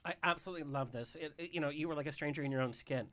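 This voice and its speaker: A man from Southern California with a bright, forward voice pitched high for a man. He hosts a casual interview, chatting and reflecting between questions. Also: racing. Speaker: host. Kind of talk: casual talk-show interviewing.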